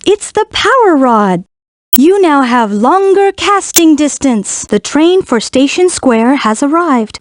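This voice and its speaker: An actress playing a gentle young female spirit guide in a video game, giving scripted hints in a measured, earnest, instructive way. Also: high-pitched, dry recording, studio quality. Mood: calm, solemn. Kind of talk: scripted game hint narration.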